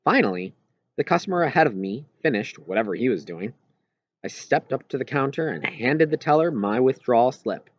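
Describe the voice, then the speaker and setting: A man narrates a children's novel in the first person, reading it aloud. His mid-range voice moves widely up and down in pitch. The recording is dry and a little dull, with hardly any room sound. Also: lively intonation, brisk, dry recording. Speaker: audiobook reader.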